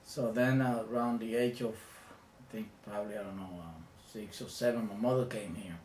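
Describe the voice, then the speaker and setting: A man in his fifties from the Dominican Republic with a Dominican accent, recounting his childhood in a reflective, hesitant way with frequent pauses. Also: lowish voice, steady tone, darkish tone. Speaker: interviewee. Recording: echoey room with faint ambient noise.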